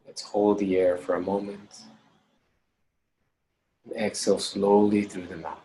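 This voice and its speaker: Male meditation instructor, low register, slow calm delivery in short measured phrases with long pauses. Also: slight background noise, rough recording.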